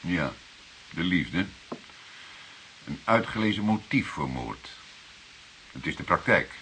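An actor playing a shrewd older police detective in a Dutch radio drama, questioning deliberately with an insinuating irony. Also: male voice, low voice, animated, sharp tone, full character voice, poor audio. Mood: grave, insinuating. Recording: hissy radio play recording.

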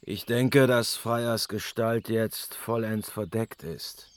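An actor playing a proud, stubborn ruler of the gods in a German fantasy radio play, grave and commanding, with a husky voice. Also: male voice, on the low side, fairly even intonation, takes it slowly.

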